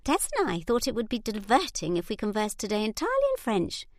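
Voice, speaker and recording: A woman voicing an eager, excitable young noblewoman in an audiobook, gushing as she talks. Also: medium pitch, quick pace, no echo, studio quality, pitched above the performer's own voice. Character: bubbly, warm young English noblewoman. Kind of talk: audiobook character voice.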